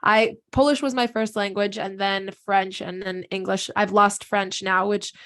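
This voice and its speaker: A woman's voice: mid-range voice, fairly even intonation, unhurried, fairly bright tone. A woman hosting a casual interview, asking questions and reacting with enthusiasm. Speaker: interview host.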